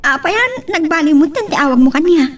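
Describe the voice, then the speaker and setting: A woman's voice: sits high, expressive, fast, far from the mic. A woman with an Ilocano accent playing a flirtatious, confident boss in an amateur audio drama. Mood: teasing, insistent. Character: flirtatious, confident lady boss in love with employee.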